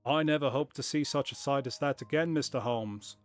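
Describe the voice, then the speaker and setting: A man's voice: medium pitch, expressive, moderate pace, soft background music, lightly acted. A man doing a chatty old-time shopkeeper. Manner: put-on period accent, storytelling. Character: chatty middle-aged male shopkeeper telling his story. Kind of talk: reading a story aloud.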